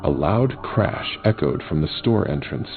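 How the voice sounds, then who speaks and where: A man playing an eyewitness, narrating a tense first-person horror story in a gravelly voice. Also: rumbling low, expressive, measured pace, heavily acted. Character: male neighbourhood eyewitness recounting a tense night. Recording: thin phone-like sound, music, sirens. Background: music and sirens.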